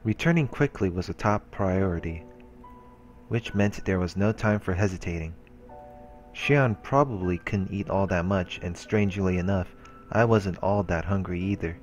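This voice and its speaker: A let's play host reading a visual novel's narration aloud, with casual asides. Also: male voice, low-pitched, moderate pace, a little mellow, soft background music.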